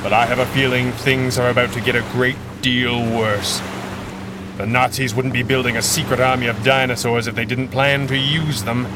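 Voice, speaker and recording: A cool-headed masked crimefighter from a 1930s radio adventure, played by a man, speaks in measured, articulate lines with a wry edge. His pitch sits in the middle, and there is clear background noise around him. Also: flat delivery, close to an everyday voice. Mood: pensive, grave. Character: Red Panda, cool-headed masked 1930s male superhero. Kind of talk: 1930s radio serial style acting. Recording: clearly noisy background ambience.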